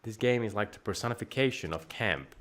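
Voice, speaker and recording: A man with a Finnish accent chatting and joking over a game at home, his voice jumping up when excited. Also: fairly low pitch, fairly quick. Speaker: game streamer. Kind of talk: casual game commentary. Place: home room.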